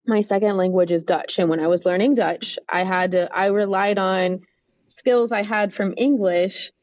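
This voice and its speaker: A woman who coordinates English learner programs, talking through classroom advice in a relaxed, thoughtful way with frequent restarts. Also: medium pitch, moderate pace, highs cut off. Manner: thoughtful, restarts often, many "um" and "right?". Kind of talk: casual podcast interview.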